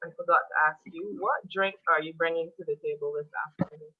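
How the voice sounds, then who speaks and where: A woman's voice: low voice, steady tone, fast. A woman hosting an interview. She chats in a friendly, casual way and laughs easily. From Barbados. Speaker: host. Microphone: video call. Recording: tinny phone-like video call audio.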